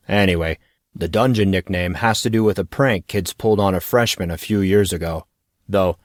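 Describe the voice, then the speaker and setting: A man's voice: sits low, dry recording, clean studio sound, lightly acted. A man voicing a laid-back, knowing teenage boy student in an audiobook, explaining things casually.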